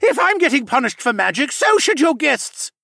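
A man's voice: very high voice, sing-song, moderate pace, vocal fry, sharp tone, dry recording, clean studio sound, voice pushed up, heavily acted. A man voicing an angry, defiant musk ox demi-human in a fantasy audiobook, spitting out accusations. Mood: furious, frenzied.

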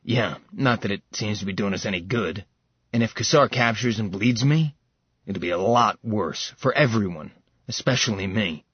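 A man's voice: lowish voice, normal speed, breathy edge, no room sound, muffled recording. Man doing a sardonic dragon shapeshifter. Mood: wry. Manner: dry, teasing.

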